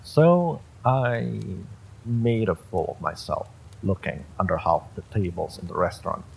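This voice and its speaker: A man's voice: sits low, big pitch swings, measured pace, creaky, darkish tone, slightly rough, rough recording. A man reading a first-person story aloud as a dramatic narrator. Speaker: story reader.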